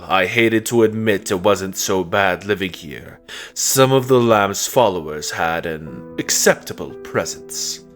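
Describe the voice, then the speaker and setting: Man acting a bitter fallen god, imperious, brooding, lowish pitch, dramatic comic monologue, music underneath. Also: a bit bright. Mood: bitter, defeated. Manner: slow, brooding, contemptuous monologue. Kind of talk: dramatic comic dub narration.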